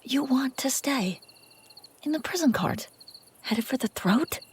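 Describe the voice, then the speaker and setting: A woman's voice: high-pitched, animated, a little slow, a little hiss or hum. A woman playing a brusque, sardonic but secretly caring female bounty hunter in a scripted fantasy audio roleplay, speaking straight to the listener. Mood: sardonic, mocking. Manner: sarcastic, teasing, often dropping to hushed urgency.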